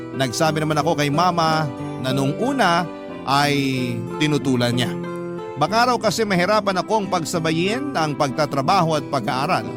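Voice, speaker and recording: Higher-pitched man narrating over music. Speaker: radio story narrator. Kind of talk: scripted first-person narration of a letter.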